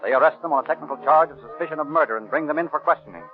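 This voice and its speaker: A man's voice: fairly high pitch, racing, a little hiss or hum. A man narrating a 1930s radio crime drama in a formal, clipped style. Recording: tinny vintage 1930s radio recording, music.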